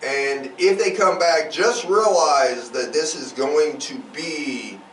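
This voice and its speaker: A man's voice: fairly high pitch, slow pace, a bit bright. A man hosting a podcast, chatting casually in a rambling, conversational, explanatory way. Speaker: podcast host. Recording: roomy echo, distant mic, faint noise.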